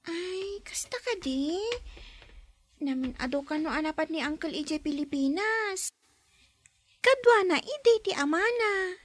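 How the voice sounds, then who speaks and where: A woman's voice: very high-pitched, lively intonation, a little slow, pauses often. A woman playing a curious little girl. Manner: inquisitive, talks up to the adults. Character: young girl in a nanny's care, chatty and curious. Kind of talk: Ilocano radio drama acting.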